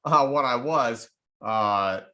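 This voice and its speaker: A man's voice: medium pitch, takes it slowly, bright, forceful tone. A man in his thirties whose first language is Russian, rambling off the cuff with many fillers and restarts while hosting a casual trading stream over a webcam video call. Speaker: trading show host. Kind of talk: casual live-stream chat. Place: home studio room, indoors.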